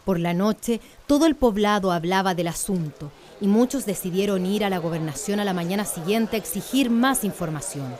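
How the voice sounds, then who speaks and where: Mid-pitched Chilean woman narrating a radio drama. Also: animated, moderate pace, few pauses, a little mellow.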